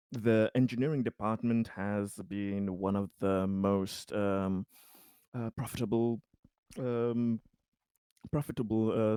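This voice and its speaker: A man playing a polite, deferential investigator in a tabletop roleplaying game, speaking formally and haltingly. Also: fairly low pitch, warm tone, smooth, dry recording, clean studio sound. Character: polite, deferential male investigator questioning an official.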